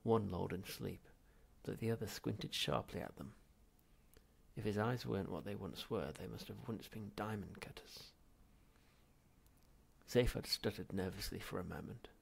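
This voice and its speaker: A man's voice: fairly low pitch, normal speed, vocal fry, slightly rough. A man reading a novel aloud as scripted story narration. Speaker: audiobook reader.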